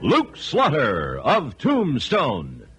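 A man's voice: medium pitch, wildly swooping pitch, extremely slow, exaggerated voice. A man acting as the grand, declamatory announcer of a 1950s radio western about a frontier legend. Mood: grand, dramatic. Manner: dramatic, declamatory. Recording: old radio broadcast under loud music.

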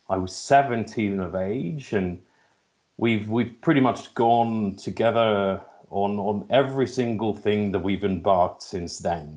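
A man's voice: lowish voice, darkish tone. A middle-aged man with a Finnish accent answering interview questions, hesitating and repeating words as he finds his phrasing. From Finland. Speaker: podcast guest, logistics executive.

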